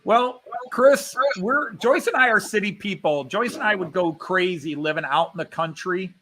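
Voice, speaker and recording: A man's voice: high-pitched, animated, normal speed, pushed and bright, a little hiss or hum. A man hosting a casual chat, telling rambling, chatty travel stories.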